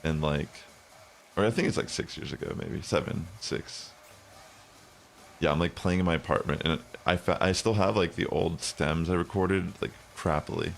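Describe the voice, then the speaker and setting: Streamer: young man, bassy low voice, rambling casual talk, gravelly edge, faint music under him. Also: fairly even intonation, normal speed. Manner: rambling, many fillers. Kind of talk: casual chat while gaming.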